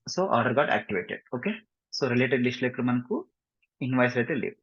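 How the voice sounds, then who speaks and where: A man with a Telugu Indian accent giving a step-by-step software tutorial, mixing in English and often checking understanding with short tag questions. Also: medium pitch, brisk, breathy edge. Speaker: software instructor. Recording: muffled lo-fi mic, roomy echo.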